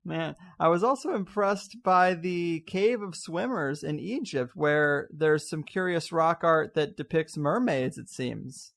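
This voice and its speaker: A man hosts a casual interview. His voice is clearly creaky, with a gravelly fry, and he asks his questions in a loose, conversational way. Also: on the high side, animated, a little slow, hardly any pauses, fairly bright tone. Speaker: interview host.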